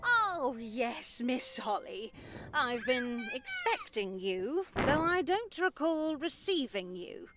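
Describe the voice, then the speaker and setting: A woman voicing a stern, pious elderly nun in a visual novel game, measured, formal and sanctimonious. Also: high-pitched, big pitch swings, background noise, exaggerated voice. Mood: coolly polite.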